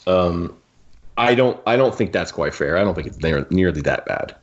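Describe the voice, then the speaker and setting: A man's voice: sits low, lively intonation. A man hosting a podcast, discussing a book casually and with strong opinions, often stopping to rephrase his thoughts. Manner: opinionated, halting and rephrasing as he goes. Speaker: podcast host. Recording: dull, muffled lo-fi audio.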